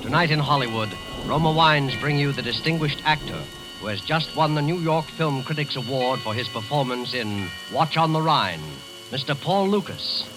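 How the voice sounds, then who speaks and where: A man announces a 1940s radio show in a scripted, formal style. Loud music plays behind him on an old broadcast recording. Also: on the high side, expressive, moderate pace, low-quality recording. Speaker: announcer, program host.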